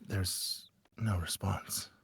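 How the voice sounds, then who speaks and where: Man acting an emotional, stammering teenage schoolboy, slow-paced. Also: deep voice, fairly even intonation, gravelly, slightly rough, no room sound, studio quality, heavily acted. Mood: reluctant, hushed. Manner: halting, stammering, emotionally raw. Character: anguished teenage schoolboy narrator of a visual novel. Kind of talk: dramatic first-person visual novel fan dub.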